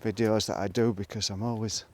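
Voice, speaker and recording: A man's voice: lowish voice, level tone, brisk, noisy background. A middle-aged man, rambling reflectively in a casual solo monologue, hesitant and thinking aloud as he goes. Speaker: solo video blogger.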